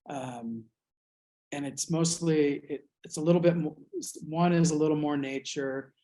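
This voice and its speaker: Man from the US, reflective artist, hesitant, mid pitch, unhurried interview talk. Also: a little mellow. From United States. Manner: thoughtful, hesitant, many fillers and restarts.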